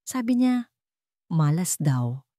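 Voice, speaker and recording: An elderly male janitor in an audio drama, acted by a woman narrator, anxious and deferential. He has a Filipino accent and speaks in a hushed, wary way, recorded very dry. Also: on the low side, big pitch swings, slow, dry recording. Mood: fearful, hushed.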